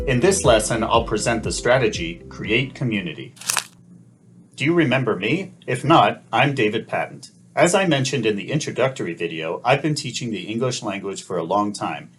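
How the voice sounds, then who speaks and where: A middle-aged man narrating a scripted teacher-training lesson in a calm, measured, explanatory way. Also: fairly low pitch.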